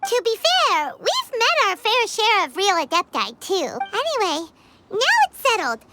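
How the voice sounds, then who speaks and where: A woman voicing a tiny, chirpy female companion creature who bubbles with excitement and refers to herself in the third person. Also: very high voice, a little slow, loud music behind the voice, full character voice. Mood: bubbly. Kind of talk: game voice acting.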